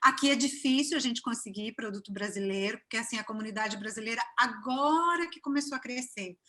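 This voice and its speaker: A woman in her forties from Brazil with a Cachoeira accent, speaking in a bright, somewhat high voice over a video call. Her talk is warm and chatty, full of fillers and restarts. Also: fairly quick. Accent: Brazilian Portuguese, from Cachoeira (Bahia). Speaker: interview guest. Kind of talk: casual interview chat.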